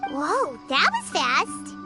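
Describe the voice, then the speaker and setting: A woman voicing a small, excitable, childlike fairy companion in a video game, squeaky and naive. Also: extremely slow, heavily acted. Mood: indignant, amazed. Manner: exclamatory, naive, refers to herself in third person. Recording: music behind the voice.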